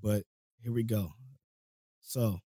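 A man's voice: lowish voice, takes it slowly, warm tone, dry recording, clean studio sound. A man hosting a casual podcast, talking in a laid-back, rambling way with frequent laughs, his voice a little worn from a cold. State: voice going, catching a cold. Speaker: podcast host.

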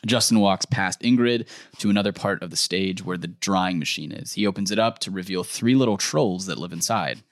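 A man's voice: low-pitched, a little fast. A man hosting a comedy table read, narrating stage directions in a casual, chatty way. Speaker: podcast host reading stage directions.

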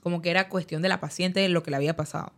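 A woman's voice: fairly low pitch, steady tone, racing, a bit bright. A woman, a guest in an interview, recounting a personal story in conversation, who acts out the dialogue she quotes. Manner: narrative, acts out quoted dialogue, uses many fillers like "dizque". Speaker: guest telling her story.

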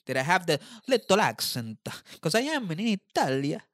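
Bright, teasing man in casual chat. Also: high-pitched, very animated, moderate pace, dry recording, clean studio sound. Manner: teasing, jokey interjections; slangy.

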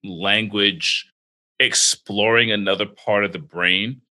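A man's voice: lowish voice, steady tone, slow pace, bright, forceful tone, rough recording. A man chatting casually as a co-host in a conversational interview. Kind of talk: casual chat.

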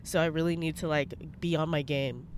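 A woman's voice: low-pitched, fairly even intonation, normal speed, a little hiss or hum. A young woman with a congested voice, chatting bluntly in a casual vlog from her parked car, swearing freely. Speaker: vlogger.

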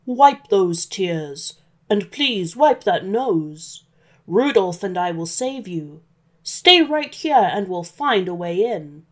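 A woman's voice: medium pitch, big pitch swings, slow. A woman voicing a jolly, kindly old man with a hearty, reassuring manner in a children's story. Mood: comforting.

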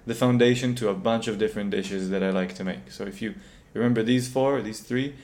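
A man's voice: fairly low pitch, brisk, darkish tone. A young man who grew up in Israel, a company co-founder, answering interview questions hesitantly, often repeating words.

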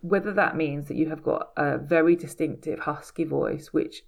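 A woman's voice: sits low, moderate pace, creaky. A woman working as an audiobook casting director, answering interview questions in an earnest, emphatic way with many restarts and "you know"s.

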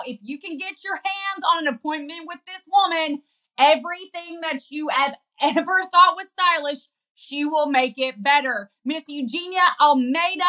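A woman's voice: sits high, bright, forceful tone. A woman hosting an interview show, upbeat and effusive as she introduces and questions her guest. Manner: effusive, gushing. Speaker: podcast host.

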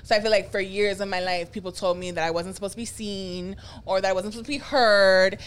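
A woman's voice: mid-range voice, fairly even intonation, brisk, smooth. Candid, reflective woman podcast guest. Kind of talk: casual chat.

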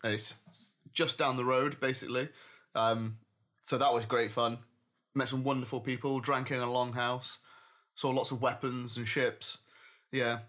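A man's voice: medium pitch, level tone, a little slow, pauses often, a bit bright. A man from Yorkshire co-hosting a podcast in casual chat, cheerful and easygoing, laughing often. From Yorkshire, England. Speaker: podcast co-host.

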